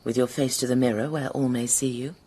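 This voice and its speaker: A woman's voice: very deep voice, steady tone, brisk, mellow, slightly rough. An actress playing a cold, commanding woman schemer who gives curt orders. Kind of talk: radio drama acting.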